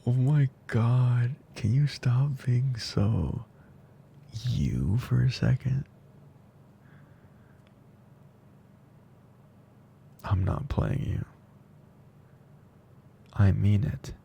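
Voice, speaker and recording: A man playing a tender, teasing best friend confessing love in an intimate ASMR roleplay, speaking softly and slowly with breathy drifts into whispering. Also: mid-range voice, lively intonation, slight background noise, full character voice. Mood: exasperated, fond.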